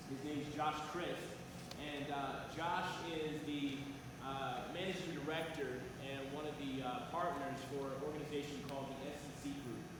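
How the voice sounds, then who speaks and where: A man giving a motivational talk as a coach at a conference, addressing his audience directly with frequent hesitant pauses, in a large room. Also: medium pitch. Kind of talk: motivational public presentation. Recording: very echoey noisy hall, long reverb.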